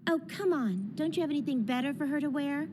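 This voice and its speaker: A woman playing a haughty, sharp-tongued teenage girl mage who explains things smugly, in an English anime dub. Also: high voice, expressive, fast talker. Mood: exasperated. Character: haughty, brisk teenage girl mage, exasperated know-it-all. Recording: loud music behind dialogue. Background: loud music.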